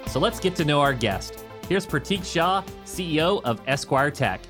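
A man's voice: a little high, lively intonation, moderate pace, over music. A man hosting a podcast, moving between scripted narration and interview talk. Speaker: podcast host.

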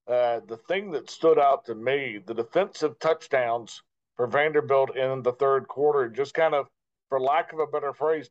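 A man, a sports broadcaster, giving measured, explanatory answers as a guest in an interview. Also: mid-range voice. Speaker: guest radio play-by-play broadcaster. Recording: dull lo-fi line.

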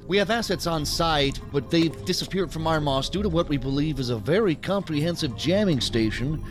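An actor playing a deferential, uneasy agent in a sci-fi audio drama, speaking formally and haltingly. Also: male voice, a little high, lively intonation, music in the background, natural voice. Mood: dutiful. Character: deferential, uneasy male agent and task force leader. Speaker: subordinate law-enforcement agent.

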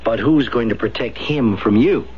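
An actor playing a cynical, flippant drinker in a radio drama, with a mocking, theatrical delivery. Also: male voice, medium pitch, moderate pace, darkish tone, soft background music, close to an everyday voice. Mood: sardonic. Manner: sardonic, theatrical. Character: cynical, boozy male companion, mocking, flippant. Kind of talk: 1970s radio drama acting. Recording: dull, noisy 1970s radio recording.

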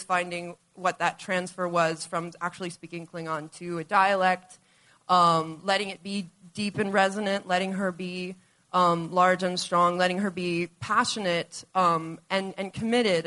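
A woman's voice: lowish voice, bright, forceful tone. A woman answering on a panel, speaking earnestly and intensely about playing a role. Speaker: actor on a panel.

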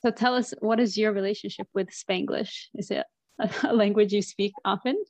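A woman hosts a casual interview, affirming her guest often as she goes. Her voice has a noticeable gravelly fry at a medium pitch. The sound is dry and close. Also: steady tone, moderate pace, darkish tone, dry recording. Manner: encouraging, frequent affirming murmurs. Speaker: podcast host.

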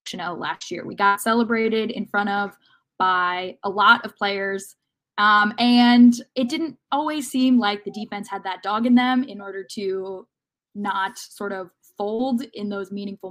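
Female sports podcast panelist, analytical and conversational, with halting, self-revising phrasing. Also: mid-range voice, fairly bright tone. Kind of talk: casual football discussion.

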